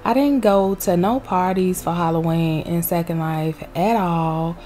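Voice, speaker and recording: A woman's voice: lowish voice, a little slow, mellow. A young woman, chatting peppily in a casual vlog voice-over recorded at home on a desk microphone. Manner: chatty, peppy, uses Southern US slang. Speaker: vlogger. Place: home room with loud heater.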